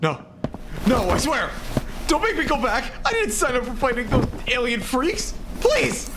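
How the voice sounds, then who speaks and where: A man playing a frightened marine private in a sci-fi audio drama, slangy and halting. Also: big pitch swings, exaggerated voice. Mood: desperate pleading. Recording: rumbling background noise.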